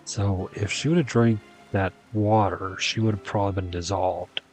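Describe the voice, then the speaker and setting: A man's voice: lowish voice, steady tone, moderate pace, a little mellow, soft background music. A man playing a video game, reading dialogue aloud and reacting casually. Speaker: gaming video player.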